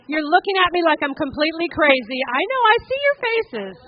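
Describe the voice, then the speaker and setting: A woman's voice: extremely high pitch, big pitch swings, fairly quick, lo-fi sound. A woman giving a chatty, interactive talk at a conference, often checking in with the room. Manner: chatty, upbeat, coaxing the crowd with "right?". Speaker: conference speaker. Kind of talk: interactive talk to a seated audience. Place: conference hall. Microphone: handheld mic.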